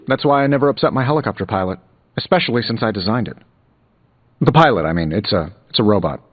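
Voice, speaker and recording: An actor playing a cocky billionaire inventor superhero in a video game, glib and sarcastic with playful quips. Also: male voice, lowish voice, expressive, quick pace, slightly rough, lo-fi sound. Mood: joking. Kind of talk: video game character dialogue, quippy banter. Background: music.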